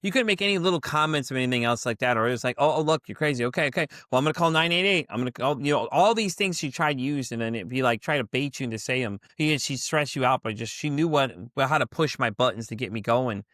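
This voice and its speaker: A man's voice: medium pitch, quick pace, few pauses, a bit bright, no room sound, clean studio sound. A man hosting a podcast interview, talking in a rambling way, full of "like" and "you know". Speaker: podcast host.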